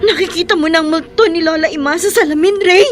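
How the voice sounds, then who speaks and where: An actress playing a distressed, insistent wife in a radio horror drama, speaking with pleading emotion. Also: female voice, very high-pitched, fast talker, noisy background. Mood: accusing. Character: distressed, insistent wife haunted by grandmother's ghost.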